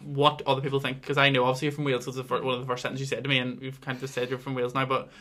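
A man's voice: mid-range voice, completely flat delivery, racing, fairly bright tone. A young man with a Northern Irish accent, hosting a podcast in a chatty, rambling, self-mocking way. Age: young adult. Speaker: podcast host. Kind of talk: casual chat.

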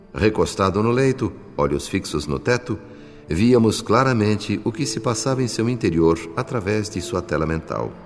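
Brazilian male narrator, solemn literary delivery, scripted radio drama, reverberant sound, faint music bed. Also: low voice.

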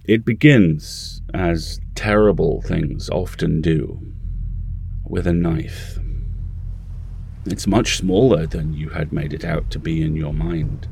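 An actor playing an ominous, brooding narrator of a dark horror audio drama, deliberate and gravelly. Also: male voice, exaggerated voice. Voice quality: deep, gravelly. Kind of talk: slow, atmospheric second-person audio drama narration.

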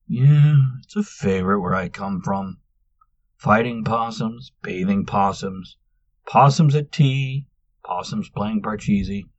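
A man's voice: lowish voice, slow pace, dry recording, dull audio, pitched above the performer's own voice. A man voicing the exasperated young Manx. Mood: sulky, weary. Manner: exasperated, insistent.

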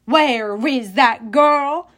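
A woman voicing a ghostly old male ship captain with a gravelly voice who barks orders loudly. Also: high-pitched, full character voice. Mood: commanding. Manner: barking orders, loud and slow.

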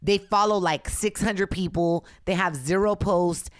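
A woman with a raspy voice co-hosting a podcast, chatting bluntly and candidly and slipping into Spanish now and then. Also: on the low side, a little slow, dry recording. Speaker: podcast co-host. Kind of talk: casual chat.

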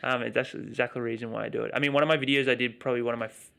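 A man's voice: medium pitch, fairly even intonation, very fast, creaky, sharp tone. A chatty, outgoing man with an Australian accent, talking casually as a guest in an interview. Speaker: interview guest, video creator.

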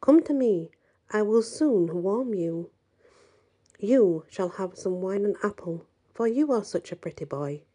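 A woman voicing a kind old male poet in a storybook reading, speaking softly and coaxingly. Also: medium pitch, lively intonation, a little slow, slightly rough.

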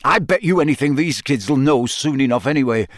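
A boyishly enthusiastic wizard fellow from a storybook, acted by a man. He is breezy, comfortable and chummy, with a lively, rising and falling pitch. The recording is dry and close. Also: a little fast, no echo, lightly acted. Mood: excited, dismissive. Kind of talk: audiobook character voice.

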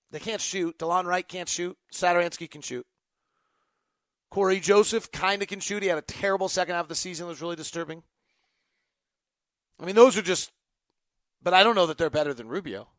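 A man's voice: sits high, brisk, long pauses, a bit bright, no echo. A man hosting an unscripted sports talk show, thinking aloud in choppy, emphatic phrases. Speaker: sports podcast host. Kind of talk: unscripted basketball talk, answering fan questions.

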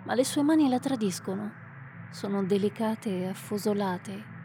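A woman playing a sharp-witted witch adventurer in an amateur radio drama, with a wry, teasing manner. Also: mid-range voice, moderate pace, slight background noise, close to an everyday voice. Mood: shrewd.

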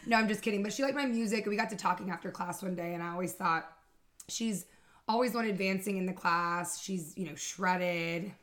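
A woman's voice: medium pitch, fairly quick, a bit bright. Playful woman trainer from North Carolina. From Fayetteville, North Carolina. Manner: playful, joking. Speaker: guest, master trainer. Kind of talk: casual interview chat.

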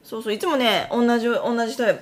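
A woman's voice: fairly high pitch, fast talker. A woman with a central Japanese regional dialect chatting casually, telling her story and acting out bits of quoted talk. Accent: central Japanese regional dialect (Tokai-Kansai). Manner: animated storyteller who acts out quoted remarks. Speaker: wife telling about her side job.

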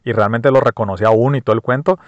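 A man's voice: medium pitch, fast talker, no echo, muffled recording. A man with a Colombian accent hosting a podcast, talking casually and reflectively with many fillers and restarts. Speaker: podcast host. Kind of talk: casual personal monologue.

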